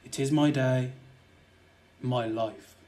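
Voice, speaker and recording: A man's voice: medium pitch, steady tone, slow, darkish tone, lightly acted. A young man playing a scheming, murderous nobleman in a verse audio drama, wooing ardently and persuasively. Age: young adult. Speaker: student actor.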